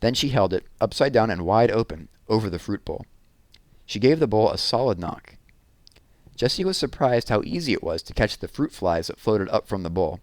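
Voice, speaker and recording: A man with a slightly low voice narrates a children's book. His reading is expressive, and the recording is dry and close, with very little room sound. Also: normal speed, no room sound. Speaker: read-aloud narrator.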